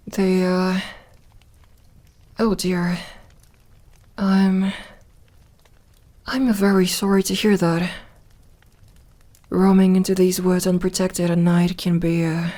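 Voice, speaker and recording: A woman's voice: on the low side, dark tone. A woman playing an ancient, lonely vampire in an ASMR roleplay, playful and tender, with a teasing drawl that turns hesitant when flustered. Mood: gentle, sad.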